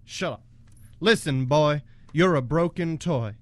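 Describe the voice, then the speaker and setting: A man's voice: a little high, unhurried, close to an everyday voice. A man acting a bullying, mocking toy cowboy who talks in folksy cowboy phrases in a student radio play. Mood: menacing. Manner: taunting, folksy cowboy talk. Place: college radio studio, live broadcast.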